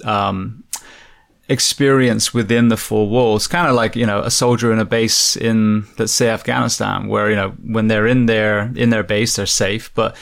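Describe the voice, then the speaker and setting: A man's voice: lowish voice, steady tone, moderate pace, creaky. A man hosting an interview show, asking questions in a casual, conversational way and often repeating words as he thinks. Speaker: podcast host.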